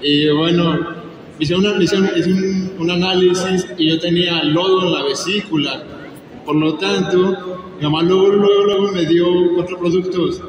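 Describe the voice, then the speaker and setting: A man from Aguascalientes giving a halting testimonial to an audience. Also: high-pitched, fairly even intonation, background noise. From Aguascalientes, Mexico. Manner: hesitant, halting. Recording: echoey hall from far off with crowd.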